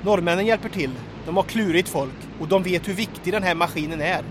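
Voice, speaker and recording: A man's voice: music in the background, close to an everyday voice. A man acting an eager, cocky and loyal aeroplane bombardier in an adventure radio drama. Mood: confident, dry. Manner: colourful, boastful, clipped aviation reporting. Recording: loud aircraft engine rumble.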